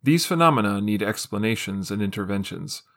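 A man's voice: on the low side, expressive, a little fast, fairly bright tone. A man reading a scripted essay aloud as narration. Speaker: reader of an essay.

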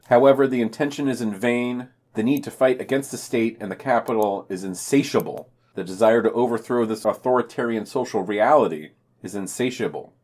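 A man's voice: mid-range voice, fairly quick, hardly any pauses, a little mellow. A man co-hosting a podcast, reading scripted news summaries and quoted passages with brief commentary.

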